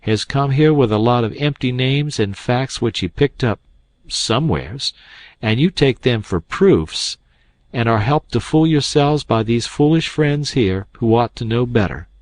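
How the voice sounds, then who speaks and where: A man's voice: medium pitch, expressive, a little slow, a little mellow, no echo, lightly acted. A man voicing a stern, indignant older doctor who speaks bluntly and scornfully. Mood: urgent.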